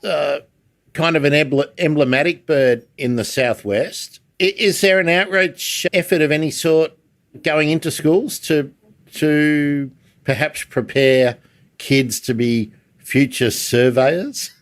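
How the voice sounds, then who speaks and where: A man's voice: a little high, slow pace. A man hosting a casual interview, asking questions in an informal way and feeling out his phrasing. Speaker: interviewer, livestream host.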